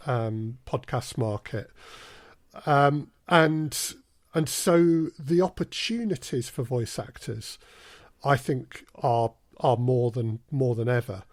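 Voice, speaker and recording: A man speaking as a podcast guest, explaining things in a measured way with hesitant repetitions. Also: medium pitch, no room sound, clean studio sound. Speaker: guest, audio drama producer. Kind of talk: casual podcast interview.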